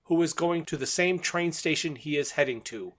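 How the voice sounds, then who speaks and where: A man's voice: fairly high pitch, normal speed. A man co-hosting a podcast, recapping a plot in a methodical, matter-of-fact way. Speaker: podcast co-host.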